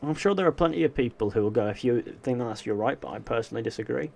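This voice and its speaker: A man's voice: medium pitch, expressive, fast, creaky, a little mellow. A young man, chatting casually and answering chat questions while playing a game live. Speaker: gaming livestreamer.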